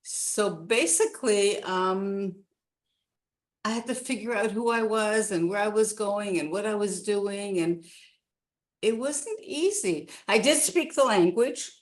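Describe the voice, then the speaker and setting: A woman's voice: mid-range voice, slow pace. A woman in her sixties from Canada, a guest telling her life story in a rambling, casual chat with many restarts.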